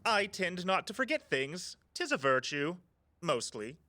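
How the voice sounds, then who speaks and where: An actor playing a playful, theatrical tour guide with a flowery turn of phrase in an audio drama. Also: male voice, high-pitched, very animated, a little slow, sharp tone, no echo, full character voice. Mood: grandiose, playful. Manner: grandiose mock-archaic speech.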